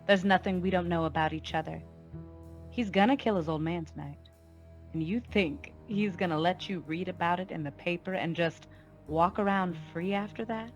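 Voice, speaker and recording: Woman acting a low cold crime boss lady, old radio noir, imperious and mocking, music underneath. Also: highs cut off, close to an everyday voice. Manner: taunting, deliberate, imperious. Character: cold, commanding female gangster boss, scornful and threatening.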